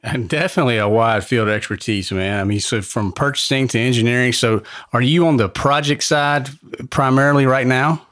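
A man's voice: mid-range voice, a little fast, fairly bright tone, dry recording, clean studio sound. A man hosting a podcast, introducing the show and chatting casually with his guest. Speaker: podcast host.

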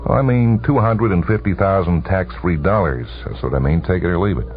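A man voicing a cool, sour male mercenary gambler with a flat, sardonic, halting delivery in a radio story. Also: sits low, pitched above the performer's own voice. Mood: cool, bargaining. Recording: tinny vintage radio broadcast, music behind.

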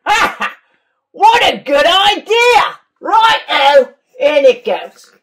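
Woman reading as a boastful storybook dingo, sing-song and gleeful, lively pitch. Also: very slow, a little hiss or hum, full character voice. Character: sly grinning male dingo cook, boastful.

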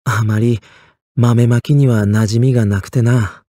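A man voicing a calm, plainspoken sword warrior in an anime game, frank and steady. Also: mid-range voice, measured pace, slight background noise, natural voice. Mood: calm, resolute.

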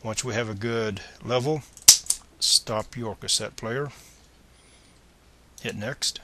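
A man's voice: medium pitch, slow, very noisy. A man presenting a step-by-step screencast tutorial in a plain, methodical, instructional manner.

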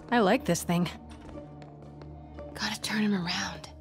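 A woman's voice: medium pitch, slow, gravelly. Young woman sleuth, determined, stealthy murmur, self-talk, video game, background music. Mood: wry, stealthy. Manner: murmuring to herself, often dropping to whispers. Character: determined young female reporter sneaking as amateur spy.

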